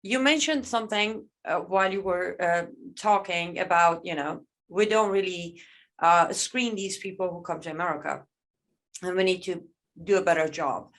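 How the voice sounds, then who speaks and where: A woman's voice: fairly low pitch, normal speed, poor audio. A woman hosting an online Q&A over a video call, speaking hesitantly with many fillers. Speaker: host. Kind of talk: moderating an online Q&A.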